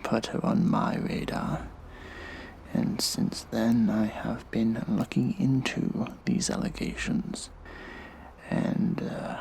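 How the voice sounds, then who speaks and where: A man playing a smug, mischievous hacktivist vigilante in an audio drama, speaking in a hushed, breathy, halting monologue. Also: fairly low pitch.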